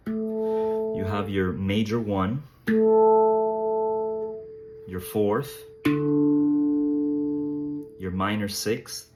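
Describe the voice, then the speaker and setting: A man with a low voice giving a casual, thoughtful demonstration on a phone vlog, talking slowly with soft pauses. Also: sing-song, over loud music. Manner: slow, thoughtful, reflective talk with soft fillers. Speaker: musician reviewing handpan drums. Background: tonal drum playing.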